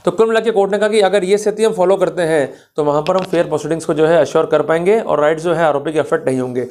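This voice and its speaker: A man's voice: fairly high pitch, quick pace, darkish tone. A man giving an explanatory law lecture, addressing listeners directly in a didactic way and mixing in English legal terms. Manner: didactic, checks understanding with questions, mixes in English legal terms.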